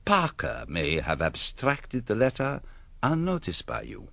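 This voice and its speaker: A man playing a courteous, shrewd foreign detective in a radio drama, formal and deliberate with quaint phrasing. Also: mid-range voice, wildly swooping pitch, gravelly, a bit bright, dry recording, full character voice. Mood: thoughtful, probing.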